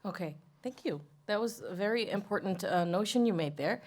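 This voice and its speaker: A woman's voice: mid-range voice, lively intonation, fairly quick, darkish tone. A woman moderating a live panel discussion, asking probing questions and giving short affirmations. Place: event venue stage.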